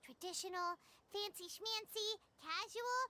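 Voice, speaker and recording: A woman's voice: very high-pitched, a little slow, sharp tone, slight background noise, heavily acted. A woman voicing a tiny, cheerful fairy-like girl companion in an anime game, chirpy and blunt. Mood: matter-of-fact, huffy. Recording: music behind the voice.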